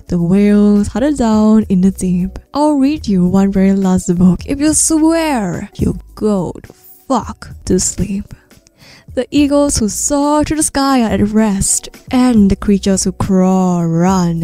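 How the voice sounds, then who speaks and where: A woman reads a bedtime storybook in a soft, mellow voice. She takes her time over each line, gentle and sometimes sinking to a whisper. The sound is close and dry, with faint music underneath. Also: medium pitch, no room sound. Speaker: VTuber streamer reading aloud. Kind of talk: soothing bedtime storybook reading.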